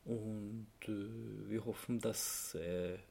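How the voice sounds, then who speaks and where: A man co-hosting a casual podcast, speaking in a halting, hesitant way. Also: on the low side, steady tone, creaky, mellow, slight background noise. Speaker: podcast co-host.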